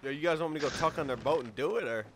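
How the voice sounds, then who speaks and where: A man talking in slangy, excitable casual chat while playing a game. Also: a little high, very fast, over loud music. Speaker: gamer.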